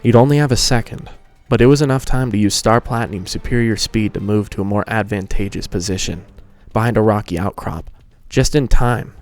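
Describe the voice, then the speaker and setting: A man's voice: fairly low pitch, brisk, few pauses, no echo, soft background music. A man hosting a fan fiction reading and narrating in a casual style. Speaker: host and fan fiction reader.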